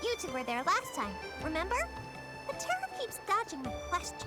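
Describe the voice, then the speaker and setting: Tiny squeaky storyteller critter, super high n bubbly, video game, loud music behind. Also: female voice, huge pitch swings, normal speed, exaggerated voice. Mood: chatty, earnest. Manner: bubbly, theatrical. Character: eager young storyteller creature, chirpy and excitable. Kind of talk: animated video game character acting.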